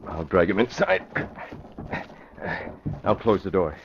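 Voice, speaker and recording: An actor playing a resolute, decisive crime-fighting newspaper publisher in a measured old radio drama style. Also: male voice, deep voice, very animated, creaky, exaggerated voice. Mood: firm, decisive. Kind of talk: 1940s radio drama acting. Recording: muffled, noisy old radio recording.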